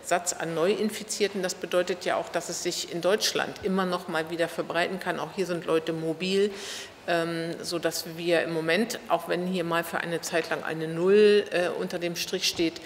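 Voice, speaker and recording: A middle-aged female official answers interview questions off the cuff. Her voice is fairly low, with long room reverb and some background noise. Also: fairly even intonation, normal speed. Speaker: city official being interviewed. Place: large wood-panelled room indoors.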